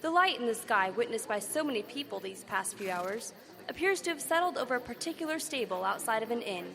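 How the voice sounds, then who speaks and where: An actress playing an eager field news reporter who keeps pressing with questions in a live radio drama. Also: female voice, on the high side, lively intonation, gravelly, a crowd in the background. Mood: hushed awe. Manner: brisk, pressing reporter delivery.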